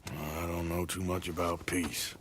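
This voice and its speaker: An actor playing an ailing, regretful outlaw gunman in a game cutscene, with a gravelly American Western drawl and halting, plain speech. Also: deep voice, little pitch movement, background noise. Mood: weary, regretful. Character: weary, ailing male outlaw gunman, gruff but regretful. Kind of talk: video game cutscene acting.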